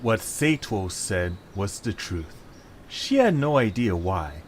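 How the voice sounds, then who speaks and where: Casting: male storybook narrator, fairly low voice, scripted delivery, faint background noise on a home recording. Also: very animated, slow pace.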